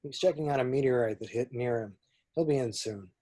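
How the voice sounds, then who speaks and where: A man reading the part of a cocky, gruff smuggler captain in an amateur table read. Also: medium pitch, a little fast, warm tone, close to an everyday voice. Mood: matter-of-fact.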